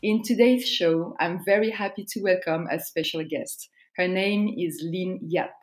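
A woman's voice: fairly low pitch, unhurried. Woman hosting a podcast interview. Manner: friendly, with hesitant restarts. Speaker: podcast host.

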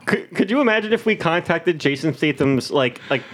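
Man, podcast panelist, animated intonation, raised pitch, repetitive joking riffs. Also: brisk, a little hiss or hum. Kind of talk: joking casual group chat.

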